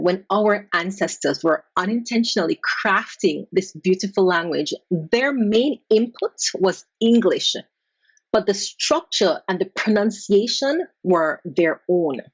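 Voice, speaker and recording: A woman from Kingston, Jamaica, with a Jamaican accent, explaining language topics in an emphatic, clear way and slowing down to stress key points. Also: mid-range voice, dull audio. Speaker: language vlogger. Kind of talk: educational explainer.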